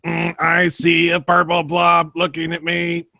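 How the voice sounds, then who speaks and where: A theatrical storybook monster, performed by a man, raised in pitch. Each line is slowly drawn out, like a creature in a spooky picture book. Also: monotone, gravelly, pushed and bright, no room sound, exaggerated voice.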